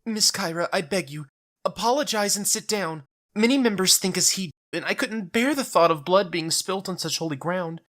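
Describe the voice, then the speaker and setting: Man voicing a timid, petite devout woman, pitched up. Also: lively intonation, normal speed. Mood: anxious pleading. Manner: hushed, polite, formal. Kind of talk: audio drama acting.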